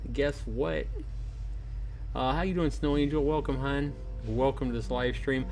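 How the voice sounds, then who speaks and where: A man hosting a casual livestream, chatting informally and in a friendly way, addressing viewers by name. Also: fairly low pitch. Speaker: livestream host. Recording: clearly noisy home livestream.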